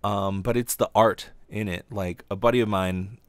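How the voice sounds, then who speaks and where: A man's voice: lowish voice, fairly even intonation, moderate pace, no room sound. A man hosting a casual monologue podcast, stammering through repeated false starts and swearing as he rambles. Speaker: podcast host and aspiring voice actor.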